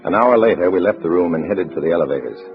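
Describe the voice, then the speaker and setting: An actor playing a wary undercover agent in a 1950s radio drama, with an American accent and a measured, clipped, hard-boiled delivery. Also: male voice, deep voice, expressive. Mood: grim, tense. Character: wary male undercover agent posing as loyal party comrade. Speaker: narrator and lead actor. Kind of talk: 1950s radio drama acting, first-person narration. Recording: muffled vintage radio recording with music.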